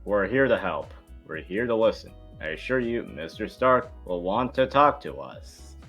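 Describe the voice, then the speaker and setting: A man reading dialogue at a casual amateur table read, halting and stumbling over some lines. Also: on the low side, lively intonation, fairly bright tone, slightly rough, faint music behind.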